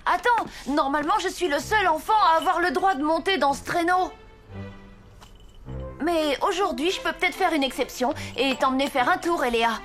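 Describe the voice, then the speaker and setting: A woman's voice: high voice, moderate pace, a bit bright, faint music behind. A woman voicing a kind, eager young orphan boy in a cartoon, earnest and friendly. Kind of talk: animated cartoon voice acting.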